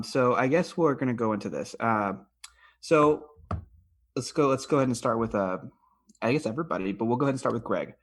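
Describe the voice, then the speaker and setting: A man hosting a podcast, asking questions in a casual, halting, informal way. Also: medium pitch. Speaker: podcast host.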